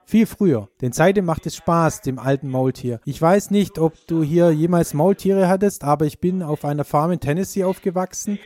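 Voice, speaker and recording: A man's voice: a little high, a little mellow. A man delivering a sermon in the voice of a preacher, addressing listeners directly. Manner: direct address with rhetorical questions. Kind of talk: sermon with personal testimony.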